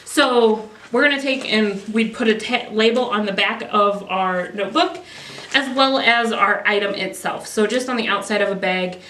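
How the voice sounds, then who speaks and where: A woman's voice: mid-range voice, sharp tone. A woman explaining a procedure informally, step by step. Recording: echoey room, distant mic.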